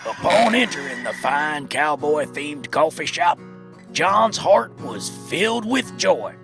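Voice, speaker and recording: A folksy, wry American western storyteller played by a man, high in pitch and animated, narrates a comic audio drama over plucked guitar music. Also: slow pace, vocal fry, heavily acted.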